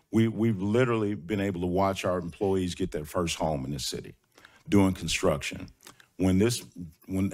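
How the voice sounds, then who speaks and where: Deep-voiced guy from nashville at a podium mic, emphatic, throws in uhs. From Nashville, Tennessee. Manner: emphatic, rhetorical questions, frequent uhs. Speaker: public commenter, construction manager. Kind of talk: public comment speech. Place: large public meeting hall. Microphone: podium microphone.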